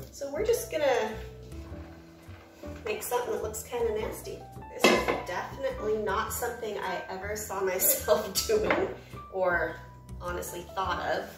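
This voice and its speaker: A woman's voice: medium pitch, echoey room, far from the mic. An American woman hosting a cooking vlog from her home kitchen, chatty and upbeat, with rambling asides. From United States. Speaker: cooking channel host.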